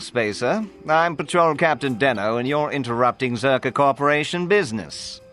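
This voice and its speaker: An actor playing a brusque, defensive, blustering patrol captain in a video game, with a curt, officious, irritable manner. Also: male voice, medium pitch, a bit bright.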